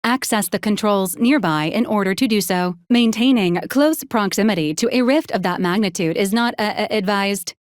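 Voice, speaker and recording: A woman voicing a polite, literal robot assistant in a video game, with formal, clipped, deadpan delivery. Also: medium pitch, normal speed, hardly any pauses, no echo, studio quality, lightly acted. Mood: matter-of-fact, deadpan. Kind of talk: scripted video game character lines.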